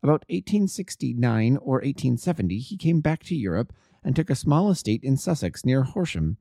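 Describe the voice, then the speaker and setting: A man reading the part of an anxious, refined young gentleman in his early twenties, earnest and polite, in an audiobook. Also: medium pitch, sing-song, moderate pace, gravelly, dry recording, clean studio sound. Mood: earnest, explaining. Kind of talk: audiobook character dialogue.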